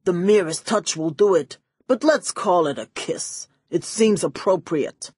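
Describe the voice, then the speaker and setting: A woman voicing a menacing, contemptuous male villain who smokes cigars, with a slow, snappish, sneering delivery in an audiobook. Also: high voice, a little mellow, breathy edge, no room sound, studio quality. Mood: smug menace.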